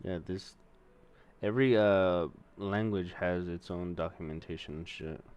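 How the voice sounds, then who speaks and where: Young man, Mexican-American accent, slow unhurried delivery, smooth clear voice, profane rambling stream talk. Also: low-pitched, a little mellow, muffled recording. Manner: profane, rambling, thinks aloud while typing. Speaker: streamer learning to code. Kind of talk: casual coding stream commentary.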